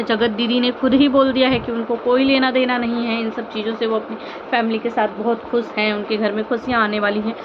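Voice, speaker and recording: A woman with a fairly high voice speaks as an informal commentary vlogger. She is earnest and pleading, often repeating herself and posing rhetorical questions. The sound is somewhat muffled, with clear background noise behind her. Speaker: vlogger commenting on online family drama. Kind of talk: informal opinion commentary addressed to viewers.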